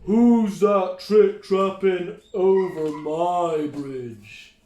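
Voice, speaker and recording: A man voicing a grumpy storybook troll, roaring a drawn-out challenge in a roomy recording. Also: high voice, mellow. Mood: menacing. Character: grumpy troll under a bridge, roared threateningly. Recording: roomy, echoing sound.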